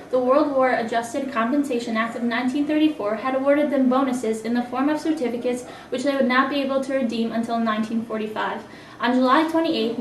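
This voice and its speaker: A woman reading scripted amateur news as an anchor. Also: sits high. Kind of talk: scripted amateur mock newscast. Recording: echoey room, distant mic, faint noise.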